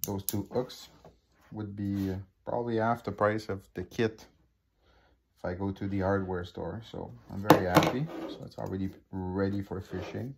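Man, Québécois French accent, native French speaker, lowish gravelly voice, halting with fillers, casual unboxing chat, phone mic, faint background hiss. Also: steady tone. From Quebec. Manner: halting, many fillers and restarts. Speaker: hobby unboxing vlogger. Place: living room at a table.

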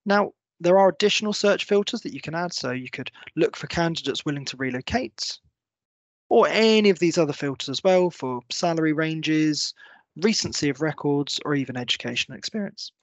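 A man in his thirties presenting a software walkthrough, explaining step by step in a semi-scripted tutorial style. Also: a little high, moderate pace, no echo. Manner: clear, step-by-step explanatory delivery. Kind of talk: semi-scripted screen-share tutorial narration.

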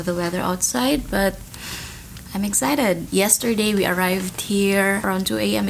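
A woman's voice: medium pitch, vocal fry, slight background noise. A woman, chatting to camera in a hotel room as a travel vlogger and laughing between sentences. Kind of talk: casual vlog to camera. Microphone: camera at arm's length.

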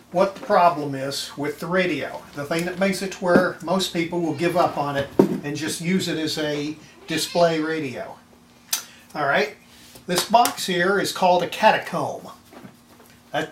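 An older man giving a casual, folksy workbench demonstration, half muttering to himself as he works. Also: on the high side. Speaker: hobbyist restorer presenting his repair video. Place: indoor home electronics workshop. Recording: roomy echo, clattering tool and handling noise.

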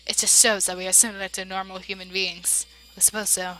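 A woman playing a game, reading its dialogue aloud and reacting with big emotional swings between loud outbursts and hushed narration. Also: mid-range voice, flat delivery, fairly quick, faint music behind, rough recording. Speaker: let's play voice-over player.

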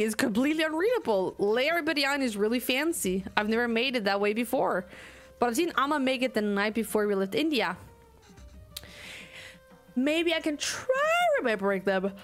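A young woman from Denmark with a Danish accent and a high, bright voice talks through a cooking game as she streams it. She rants with feeling between reading bits of dialogue aloud. Soft game music plays faintly under her desk mic. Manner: animated, ranting, reads game lines aloud. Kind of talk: let's play commentary.

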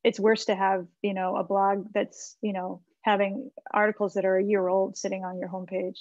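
An American woman in middle age talks conversationally, with plenty of fry in her voice. She sounds like an encouraging consultant thinking aloud as she reviews work. The sound is close and dry, slightly dulled by a video call. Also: medium pitch, level tone, moderate pace, a little mellow, no room sound, highs cut off. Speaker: webinar presenter, website marketing consultant. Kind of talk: informal website critique and advice. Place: small indoor room with bookshelves. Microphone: computer mic on a video call.

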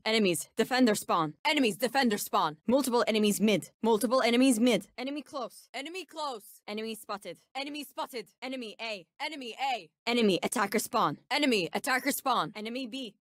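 A woman's voice: a little high, fairly bright tone, no echo. Casting: menacing female bounty hunter, video game, Turkish accent, confident and ominous, dry studio sound. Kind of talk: video game character voice lines.

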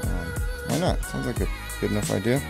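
A man's voice: fairly low pitch, animated, a little fast, vocal fry. A man talking off the cuff, rambling and swearing, with a voice-over over music. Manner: profane, rambling, laughs at own jokes. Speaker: vlogger. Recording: voice over loud background music.

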